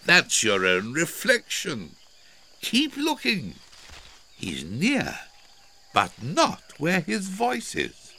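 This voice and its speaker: A cheerful, teasing elephant leader from a storybook, acted out by a man. The voice is raised and bright, bouncing in a sing-song with very wide swoops, over faint outdoor noise. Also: on the high side, wildly swooping pitch, extremely slow, pitched above the performer's own voice, exaggerated voice. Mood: amused. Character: Elmer, cheerful teasing elephant leading the herd.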